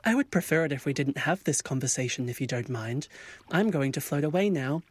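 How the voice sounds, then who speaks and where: An actor playing a grown-up ugly duckling, a polite swan who speaks with measured restraint and soothes himself, in an audio drama. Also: male voice, on the high side, animated, dry recording. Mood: politely strained. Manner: measured, restrained, self-coaching. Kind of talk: audio fiction acting.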